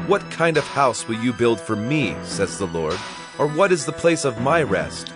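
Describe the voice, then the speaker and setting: An actor playing a fervent, defiant preacher and martyr in a dramatized scripture reading, declamatory and impassioned. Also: male voice, medium pitch, very animated, moderate pace, over music, close to an everyday voice. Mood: solemn, preaching.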